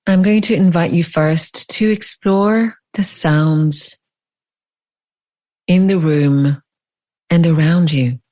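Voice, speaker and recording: A woman leading a guided meditation as a teacher, speaking in deliberate phrases with long pauses. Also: fairly low pitch, dark tone.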